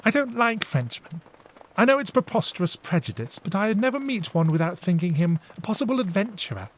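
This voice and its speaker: A man's voice: high-pitched, a little fast, voice pushed up. A man voicing a teasing dignified elder sister. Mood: reasoning. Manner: teasing, exclamatory, lively. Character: dignified warm-hearted elder sister, young woman.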